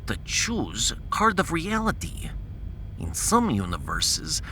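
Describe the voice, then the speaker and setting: A man's voice: high-pitched, bright, forceful tone, a little hiss or hum, pitched above the performer's own voice, full character voice. A man playing a proud, pedantic scientist in a science fiction audio drama, long-winded and dropping his articles.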